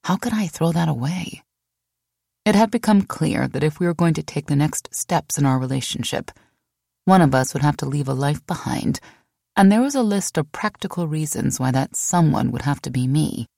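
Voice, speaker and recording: A woman reading a memoir as an audiobook narrator, in a measured, expressive storytelling manner. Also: sits low, creaky, no room sound, clean studio sound.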